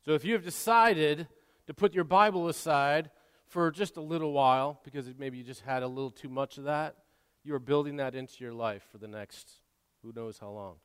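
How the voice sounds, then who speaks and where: A man preaching a sermon, swinging between quiet asides and loud, impassioned exhortation, often repeating and restarting words. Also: fairly high pitch, fairly quick, smooth. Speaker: pastor. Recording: long hall reverb.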